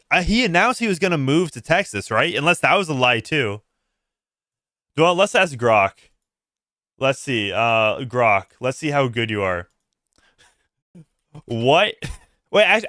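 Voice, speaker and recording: A man hosting a casual livestream, reacting aloud in a halting way, repeating words and breaking off mid-sentence. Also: medium pitch, pushed and bright, no room sound, studio quality. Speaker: livestream host. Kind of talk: casual reaction stream commentary.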